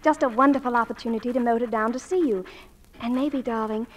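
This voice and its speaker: A woman's voice: fairly high pitch, fairly even intonation, quick pace, close to an everyday voice, poor audio. A woman acting a breezy, affectionate eighteen-year-old student daughter in 1940s-style radio drama. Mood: gushing, affectionate.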